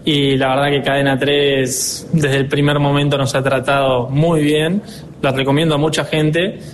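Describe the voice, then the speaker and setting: A man in his twenties with an Argentine accent, answering interview questions as a dealership director, earnest and upbeat, often pausing on fillers. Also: a little high, level tone, slight background noise. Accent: Argentine Spanish. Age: 20s.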